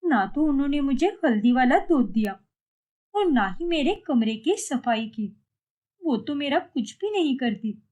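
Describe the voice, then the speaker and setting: A woman's voice: sits high, expressive, measured pace, darkish tone, lo-fi sound. A woman voicing a hurt, wistful, homesick daughter in a cartoon story, with a plaintive manner.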